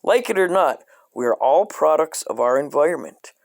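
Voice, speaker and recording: A man reading a dark comic coloring book aloud in a deadpan way, breaking off into profane asides. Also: fairly high pitch, normal speed, vocal fry, no room sound, studio quality.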